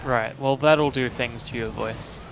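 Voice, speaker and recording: A man's voice: mid-range voice, unhurried, over music. A man chatting casually and rambling a bit while streaming a game with a friend. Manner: relaxed, rambling with fillers. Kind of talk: casual gaming chat.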